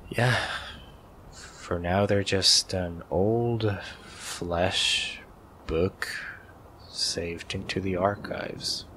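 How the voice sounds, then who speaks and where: Man acting a grumpy young male incubus, low voice, halting and slow, grudging, ASMR roleplay, rain ambience. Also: slight background noise, full character voice. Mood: stern, sardonic. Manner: hesitant, grudging, sighing, half-whispered in places. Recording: steady rain ambience behind voice.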